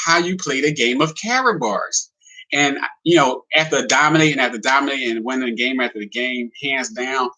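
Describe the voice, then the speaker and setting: A man's voice: medium pitch, bright, forceful tone, rough recording. A man hosting a casual livestream, talking to viewers in a plain, friendly way with lots of fillers. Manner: talks in a plain, simple way with many "you know" fillers; friendly, addresses viewers as "guys". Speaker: livestream host presenting a business opportunity.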